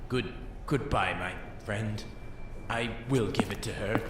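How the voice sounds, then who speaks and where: An actor playing a gentle, sorrowful middle-aged jailer and father, halting and emotional, in an audio drama. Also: on the low side, very noisy. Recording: echoey room, loud music bed.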